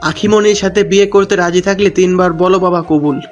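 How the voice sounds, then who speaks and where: Man acting a high-voiced wedding officiant. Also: fairly even intonation, brisk, faint music behind, close to an everyday voice. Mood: solemn. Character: formal, fatherly male wedding officiant. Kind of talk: audio love story acting.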